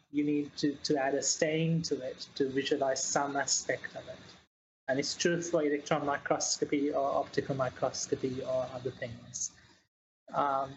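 A woman's voice: sits low, highs cut off, a little hiss or hum. A woman from New Zealand, a guest scientist, explaining her work in a casual interview with many hesitant fillers.

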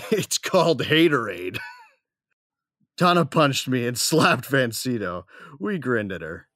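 A man's voice: medium pitch, sing-song, slow, a bit bright, dry recording, clean studio sound, exaggerated voice. A man playing a cocky, battle-weary young gunslinger, narrating and talking in a wry, casual, slangy way in an audio drama. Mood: teasing. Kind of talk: first-person audio drama narration and dialogue.

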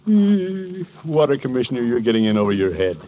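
An actor playing a pompous, fretful middle-aged uncle in a 1950s radio sitcom, blustery and muttering. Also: male voice, medium pitch, very animated, fairly quick, lightly acted. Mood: grumbling, brooding. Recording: muffled, noisy vintage radio recording.

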